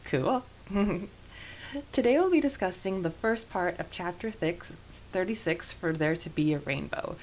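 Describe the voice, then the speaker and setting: A woman's voice: lowish voice, lively intonation, unhurried, a little mellow, low-quality recording. A woman hosting a podcast that discusses a book, mixing prepared notes with talk. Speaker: podcast host. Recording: noticeable background hiss.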